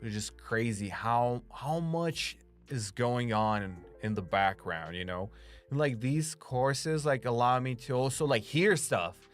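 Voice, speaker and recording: A man's voice: mid-range voice, animated, measured pace, faint music behind. A young man from Romania with a slight Romanian accent, chatting casually with stream viewers, rambling with lots of "like" and freely swearing. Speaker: streamer and voice actor.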